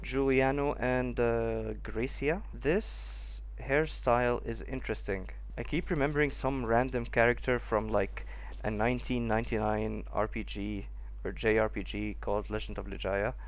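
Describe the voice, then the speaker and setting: A man's voice: mid-range voice, steady tone, moderate pace. A man giving casual playthrough commentary as a gaming streamer, rambling and incredulous.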